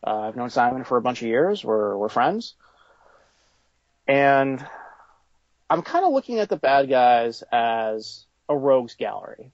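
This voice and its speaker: A man's voice: mid-range voice, big pitch swings, unhurried, long pauses, no room sound, muffled recording. A man being interviewed about his toy company, talking in a casual, conversational way.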